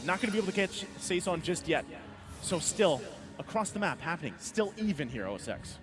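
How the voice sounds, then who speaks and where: A man's voice: sits high, very animated, brisk, music in the background. A man calling an esports match play by play in a conversational way. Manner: conversational, leans on tag questions. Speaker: esports caster. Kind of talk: play-by-play commentary.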